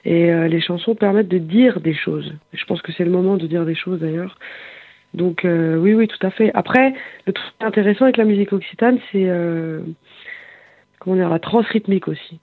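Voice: medium pitch, normal speed, dry recording. A singer and bandleader speaking in a phone interview, hesitant and searching for words with frequent fillers. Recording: tinny phone line, dry.

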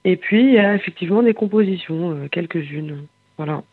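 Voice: medium pitch, fairly quick, dry recording. A singer and bandleader speaking in a phone interview, hesitant and searching for words with frequent fillers. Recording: tinny phone line, dry.

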